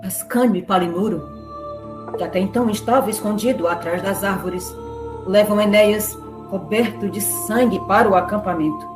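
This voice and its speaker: A woman's voice: medium pitch, moderate pace. A woman with a Brazilian accent giving a scripted, dramatic narration of an epic. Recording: roomy echo, music underneath.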